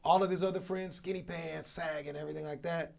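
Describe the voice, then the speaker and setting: A man's voice: on the high side, fairly even intonation, fast, vocal fry, darkish tone. A middle-aged American man, a radio broadcaster being interviewed, tells stories in a polished, emphatic conversational way, repeating phrases for effect. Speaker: radio program director and morning show host, interview guest. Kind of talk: animated conversational storytelling.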